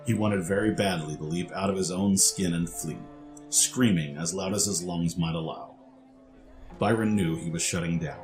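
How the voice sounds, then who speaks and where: A man reading scripted story narration as the narrator. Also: sits low.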